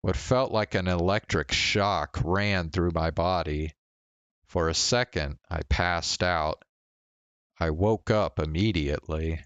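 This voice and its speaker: Man, low-pitched, slow delivery, dramatic first-person game narration, measured phrasing. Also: fairly bright tone, smooth, dull audio. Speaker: streamer reading a visual novel aloud.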